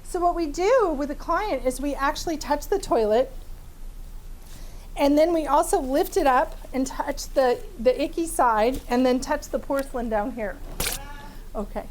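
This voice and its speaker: Bubbly high-voiced woman leading a training. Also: unhurried. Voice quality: big voice. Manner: lively, chatty, laughs often, many fillers. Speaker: therapist and trainer. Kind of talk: live training demonstration. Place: training room with a small audience.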